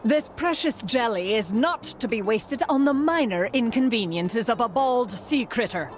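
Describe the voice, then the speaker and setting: Lady doing scheming cartoon fortune teller, haughty n theatrical, swoopy high voice, faint music. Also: lively intonation, moderate pace, natural voice. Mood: grandiose, scheming. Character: scheming, grandiose female fortune-teller villain. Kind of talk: animated cartoon game voice acting.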